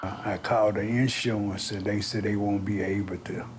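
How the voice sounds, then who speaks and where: Older man, lowish voice, informal interview, faint background noise. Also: level tone, moderate pace. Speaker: reverend interviewed for news.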